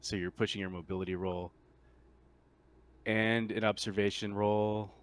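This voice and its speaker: A man running a casual tabletop roleplaying game as game master, explaining in a halting way with repeats and rephrasing. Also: lowish voice, level tone, clean tone.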